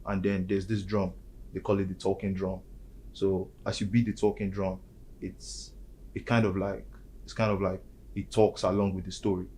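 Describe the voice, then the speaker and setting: A man's voice: low-pitched, flat delivery, moderate pace, a little mellow, a little hiss or hum. A young man, explaining things in a casual discussion and filling his talk with "like". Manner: explanatory, many fillers like "like". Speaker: student panelist.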